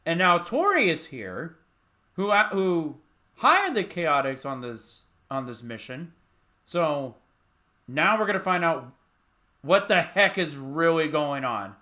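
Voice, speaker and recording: A man's voice: a little high, big pitch swings, takes it slowly, pushed and bright. A man hosting a reaction video, giving casual commentary.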